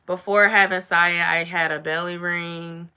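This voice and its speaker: A young woman with a somewhat raspy voice talks easily and chattily to her viewers. Her voice sits a little low but has a bright, forceful edge. The delivery is loose and conversational. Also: fairly even intonation, measured pace. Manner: chatty, says "y'all" and "like" often. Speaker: vlogger. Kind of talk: casual chat to camera.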